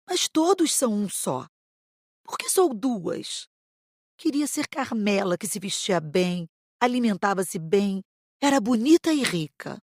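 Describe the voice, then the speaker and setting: A woman's voice: mid-range voice, big pitch swings, a little slow, darkish tone, dry recording, studio quality. A woman playing a confused, vain and troubled spirit who is torn between identities, in a dramatized audiobook. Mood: wistful confusion.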